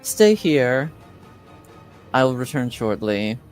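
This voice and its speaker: A man playing a stern, formal minder in a tabletop game, measured and admonishing. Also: mid-range voice, very animated, soft background music. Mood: stern, dry.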